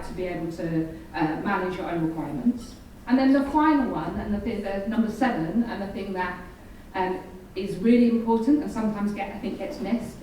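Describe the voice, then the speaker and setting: A mellow-voiced woman explains points to a room, with involuntary vocal tics breaking in. She is heard from a distance in a heavily echoing space with faint noise. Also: mid-range voice. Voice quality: involuntary vocal tics, interjected stray words. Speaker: panel speaker. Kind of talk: explanatory live presentation. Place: event venue, recorded from afar.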